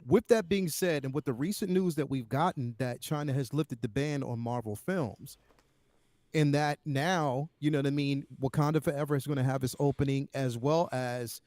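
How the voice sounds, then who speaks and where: A man's voice: mid-range voice, normal speed, a little mellow, no room sound. A man co-hosting a talk show, discussing things casually as a fan and putting questions to the host.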